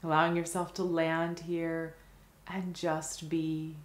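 A woman leading a guided meditation in a gentle, soothing manner, leaving long unhurried pauses between phrases. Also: sits low, level tone, reverberant.

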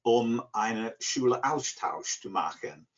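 An English man in his sixties with an English accent, answering interview questions haltingly as he searches for words in a second language. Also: mid-range voice, animated. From England, near Liverpool. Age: 60s. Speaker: interview guest, language learner. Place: living room at home. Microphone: computer mic on video call. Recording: dull, lo-fi video call.

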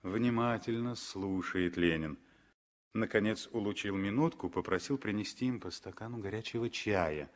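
A man narrating a 1960s radio drama with dramatic, deliberately phrased storytelling. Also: on the low side.

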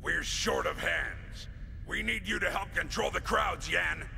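A man's voice: fairly high pitch, a little slow, gravelly. A man playing a businesslike, commanding official in an English anime dub. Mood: urgent. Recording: long reverb, loud music.

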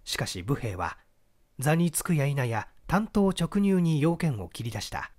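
A man narrates literary fiction as an audiobook reader. His storytelling is expressive, with animated movement in pitch. The voice sits slightly high for a man. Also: unhurried.

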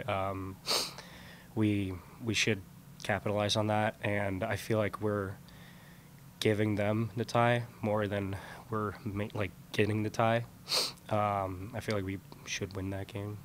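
A young man from Sweden, a college hockey player giving plain, measured post-game answers. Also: on the low side, a little hiss or hum. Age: young adult. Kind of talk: post-game press conference. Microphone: podium mic.

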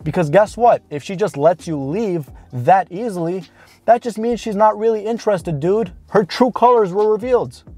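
A man's voice: high voice, dark tone. A man of around thirty gives blunt, informal, emphatic coaching advice straight to camera. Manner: blunt, informal, emphatic with drawn-out key words. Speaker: dating coach. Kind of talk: direct-to-camera advice talk. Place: inside a parked car. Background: background music.

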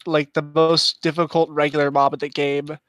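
A man's voice: on the high side, little pitch movement, normal speed, a bit bright, no echo, studio quality. A man playing a video game with friends, muttering to himself with swearing and sudden outbursts. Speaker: game player. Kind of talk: casual gaming chat.

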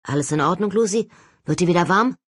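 A woman voicing a cool, unflappable young male leader of ghost hunters in an audiobook, calm and wry. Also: on the low side, fast talker, breathy edge, dry recording, studio quality. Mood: concerned.

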